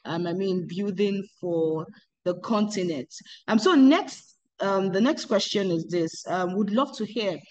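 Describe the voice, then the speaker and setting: A woman's voice: mid-range voice, unhurried, a little mellow, poor audio. A woman hosting an interview podcast, asking questions hesitantly with many "um"s and repeated words. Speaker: podcast host.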